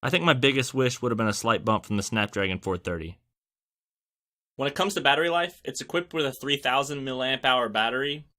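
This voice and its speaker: A man's voice: medium pitch, animated, a little fast, bright, forceful tone. A man hosting a scripted product review, narrating with wry, joking asides. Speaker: tech reviewer and host.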